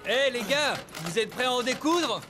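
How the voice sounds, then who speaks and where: A casual young male cartoon footballer, speaks in a very high voice to his teammates. Faint music sits behind him. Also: animated, normal speed. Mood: friendly. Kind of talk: cartoon voice acting.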